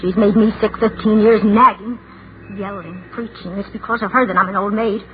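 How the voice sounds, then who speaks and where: An actress playing the inner voice of an anxious, lonely spinster, fretful and wavering, in 1940s radio style. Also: female voice, medium pitch, fairly even intonation, moderate pace, narrow, tinny audio, natural voice. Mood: torn, guilty. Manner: fretful, self-arguing, wavering. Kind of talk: 1940s radio acting, interior monologue. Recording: noisy, thin 1940s radio recording.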